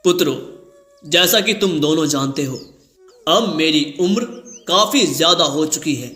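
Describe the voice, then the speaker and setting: Old cartoon king, man acting: fatherly, formal, measured, highish crisp voice with some echo. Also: animated, pushed and bright. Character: old male king, fatherly, deliberate and grave.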